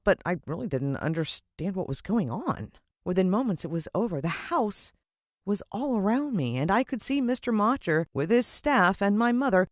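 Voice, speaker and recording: An American woman narrating a children's adventure story in an expressive, suspenseful storytelling style. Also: lowish voice, no echo, studio quality. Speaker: audiobook narrator.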